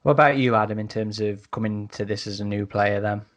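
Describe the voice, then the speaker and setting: A man's voice: lowish voice, fairly even intonation, a little fast, a bit bright. A man hosting a casual podcast discussion, with hesitant, stop-start phrasing and repeated words. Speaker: podcast host.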